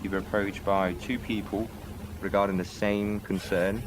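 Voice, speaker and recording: A man questions a witness as a lawyer in a roleplay courtroom, formal but hesitant and full of fillers. His voice is very deep. Clear background noise runs under the game voice chat. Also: little pitch movement, normal speed.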